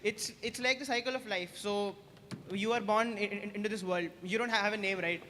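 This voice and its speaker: A man's voice: high-pitched, steady tone, sharp tone, in a large hall, slight background noise. A young man, a senior student, joking with freshers on stage in casual banter with many restarts. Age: young adult. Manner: joking, teasing, quick repeated restarts. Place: large hall on stage.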